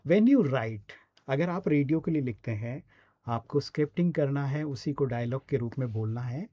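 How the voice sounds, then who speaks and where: A man's voice: medium pitch, lively intonation, moderate pace, dry recording, muffled recording. A man with an Indian accent coaching in a conversational, explanatory way, often checking in with short questions. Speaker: voice and radio scriptwriting coach. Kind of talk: conversational online teaching.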